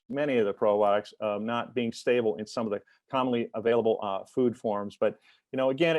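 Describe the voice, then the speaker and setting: Male expert presenter, middle pitch, halting and filler-heavy, dead dry recording. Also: a little mellow, no room sound. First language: English. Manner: halting, many fillers and restarts. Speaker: expert speaker in a webinar. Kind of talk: technical presentation.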